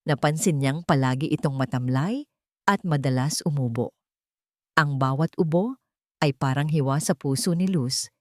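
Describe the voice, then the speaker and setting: A woman's voice: low voice, moderate pace, no echo, clean studio sound. A woman narrating a scripted dramatic story as a storyteller.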